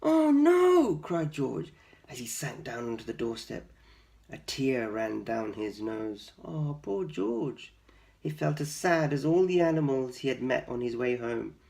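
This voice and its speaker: A man's voice: medium pitch, unhurried, creaky, a little mellow. A man hosting storytime, reading aloud expressively for young children and explaining with questions. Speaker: storytime host and reader.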